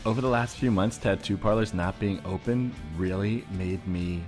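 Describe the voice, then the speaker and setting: A man acts out an enthusiastic customer at a tattoo parlor. The voice is low, and the talk is chatty, candid and rambling. It is loose improvised comedy, full of oversharing. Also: normal speed, darkish tone, soft background music, close to an everyday voice. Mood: eager, rambling. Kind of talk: improvised audio comedy sketch.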